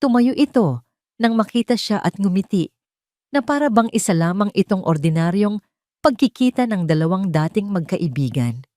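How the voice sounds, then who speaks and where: A woman's voice: mid-range voice, expressive, normal speed, warm tone, dry recording, clean studio sound. A woman narrating a scripted dramatic story as a storyteller.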